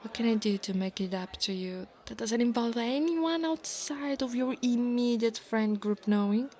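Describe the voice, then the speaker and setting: A woman's voice: on the high side, lively intonation, moderate pace, a little mellow, close to an everyday voice. A woman voicing a proud, insecure young dragon bully in an ASMR roleplay, teasing and haughty. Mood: wary, grudging.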